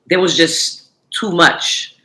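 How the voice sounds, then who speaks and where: A woman's voice: lowish voice, slow pace, pushed and bright, low-quality recording. A woman in her thirties, an entrepreneur from the United States, chatting in an interview with lots of restarts and fillers. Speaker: recycling entrepreneur, guest. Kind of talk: casual interview talk.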